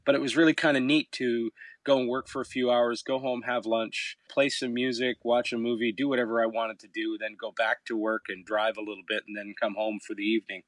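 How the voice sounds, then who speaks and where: A middle-aged man from Canada with a fairly low voice, talking about his life in a relaxed, reflective way. He tells his stories with a few hesitant uh's along the way. The sound is dry and close, with no room around it. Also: a little fast, no echo, rough recording. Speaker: musician guest. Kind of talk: relaxed interview answers.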